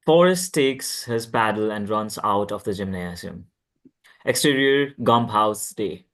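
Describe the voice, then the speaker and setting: A man's voice: on the low side, lively intonation, a little slow, fairly bright tone. A man narrating the stage directions of a screenplay at a table read.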